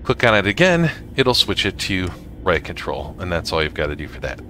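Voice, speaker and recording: A man narrating a casual software tutorial for gamers in a halting way, often pausing mid-sentence. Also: sits low, sing-song, faint music behind. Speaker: gamer making a tutorial video.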